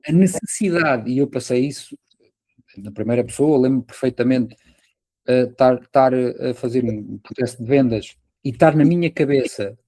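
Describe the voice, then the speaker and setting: A man's voice: mid-range voice, moderate pace, warm tone, no room sound. A man with a European Portuguese accent talking casually as a podcast guest, explaining things with everyday examples and frequent tag questions.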